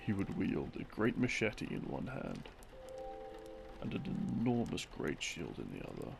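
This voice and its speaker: Easygoing boyfriend played by a man for sleep-aid roleplay: heavy vocal fry, lively swings in pitch, casual rambling lore narration. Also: exaggerated voice. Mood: relaxed storytelling. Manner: casual, unhurried, conversational asides and hesitations. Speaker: audio roleplay performer. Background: background music.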